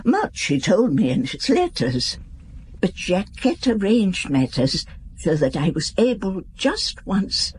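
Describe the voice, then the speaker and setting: An actress playing an elderly aristocratic widow, gracious, formal and wistful, speaking frankly in a radio drama. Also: female voice, mid-range voice, big pitch swings, slight background noise. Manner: formal, composed, unhurried, frank.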